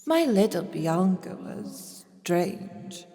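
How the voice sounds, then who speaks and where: A woman playing a haughty, scheming duchess devoted to a dark queen in an audio drama, with theatrical delivery. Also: fairly low pitch, big pitch swings, extremely slow, full character voice. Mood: sinister, devout. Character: scheming duchess and mother, fanatical servant of vampire queen. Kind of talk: scripted immersive audio drama. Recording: long reverberant hall sound.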